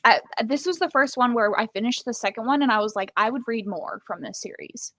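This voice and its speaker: A woman's voice: fairly high pitch, brisk, a bit bright. A woman co-hosting a casual live book chat in a wry, conversational way, dropping "like" often. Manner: wry, conversational, uses lots of "like". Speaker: co-host of a live book readalong.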